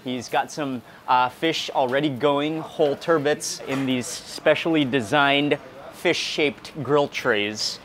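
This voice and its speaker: A man in his thirties excitedly narrating a seafood meal for a food vlog, savoring each bite with hums and exclamations, recorded on a small clip mic in a restaurant. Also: medium pitch, slow pace. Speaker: food vlogger. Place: restaurant dining room and outdoor grill. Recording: busy restaurant crowd behind. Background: restaurant crowd chatter.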